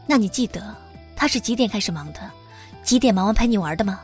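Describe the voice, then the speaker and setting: A mid-pitched young woman's voice from an actress, with faint music behind it. She is composed and authoritative, an audio drama heroine who speaks in calm, brief, measured sentences. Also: lightly acted. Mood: calm, probing. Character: composed, authoritative young mother and senior sister. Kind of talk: modern audio drama acting.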